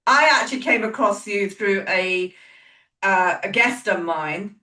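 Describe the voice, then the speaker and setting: A woman's voice: mid-range voice, animated, a little slow, bright, forceful tone. Upbeat woman hosting a podcast. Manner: upbeat, emphatic, motivational. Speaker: podcast host. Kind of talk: scripted intro then casual interview chat. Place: home, in British weather. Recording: roomy echo, a bit far from the mic, faint music.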